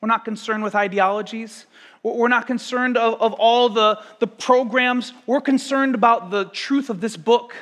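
A man in his thirties preaching a sermon as pastor, talking conversationally and telling stories to the congregation. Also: very high voice. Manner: conversational, storytelling, animated asides to congregation.

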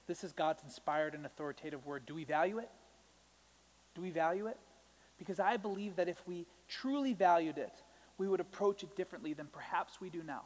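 High-voiced young male preacher. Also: fairly quick. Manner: conversational and self-joking, with asides to the congregation. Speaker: pastor. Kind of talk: sermon. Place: church sanctuary. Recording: long hall reverb.

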